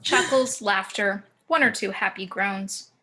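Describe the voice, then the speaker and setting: A woman reading screenplay action descriptions as narrator in a table read over a video call, in deliberate short phrases. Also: medium pitch, pushed and bright. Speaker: stage-direction narrator.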